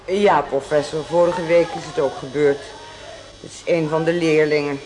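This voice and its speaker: Actress as a dutiful, low-pitched nursing sister. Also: female voice, steady tone, moderate pace, close to an everyday voice. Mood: dutiful, flustered. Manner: clipped, dutiful, apologetic to superiors. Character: brisk, deferential female hospital nursing sister, strict with juniors. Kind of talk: Dutch radio drama acting. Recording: noisy old radio play recording.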